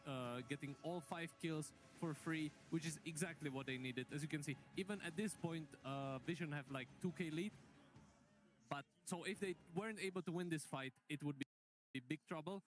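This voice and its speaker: A man with a voice a little higher than usual gives a methodical esports breakdown. He walks through each fight step by step in a steady analyst's voice. Faint music plays underneath. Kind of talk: live esports analysis desk.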